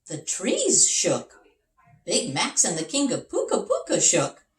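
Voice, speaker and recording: Woman, storybook narration, unhurried, lively intonation, roomy echo, slightly distant. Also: medium pitch. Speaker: language arts teacher reading aloud. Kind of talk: animated children's story reading. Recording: roomy echo, away from mic.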